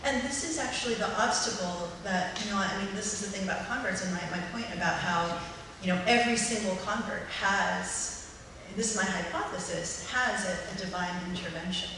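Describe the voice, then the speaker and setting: A woman's voice: mid-range voice, brisk. American woman, earnest, in a very echoey hall. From United States. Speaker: institute director co-leading a Q&A. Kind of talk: casual, earnest personal talk. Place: indoor hall at a live gathering. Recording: echoey hall, distant mic, clear noise.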